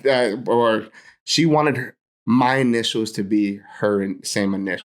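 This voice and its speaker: A man's voice: lowish voice, normal speed. A young man from Hawaii, a pastor and podcast guest, telling stories in a casual chat and laughing often.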